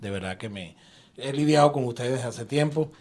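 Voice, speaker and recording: A man's voice: medium pitch, normal speed, darkish tone. A man hosting a live radio talk show from a booth, joking with his guests and mixing in English terms.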